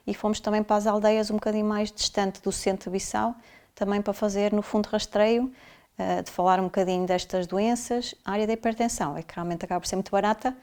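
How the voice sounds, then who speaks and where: A woman's voice: mid-range voice, a little mellow. A middle-aged woman from South Africa, a family doctor, explaining her experiences in interview answers, with many fillers and restarts along the way.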